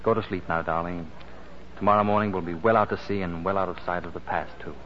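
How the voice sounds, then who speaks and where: An actor playing a possessive romantic suitor, earnest and insistent, in 1940s radio drama style. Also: male voice, sits low, steady tone, fast talker, slight background noise, lightly acted. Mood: tender, earnest. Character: Paul, smooth-talking, possessive romantic suitor. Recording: dull 1940s radio recording, music behind.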